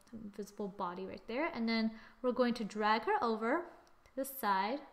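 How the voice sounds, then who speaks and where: A young adult woman giving a casual software tutorial, with a playful, exclaiming manner and little sing-song asides. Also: a little high. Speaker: tutorial presenter. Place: screen-recorded voice-over.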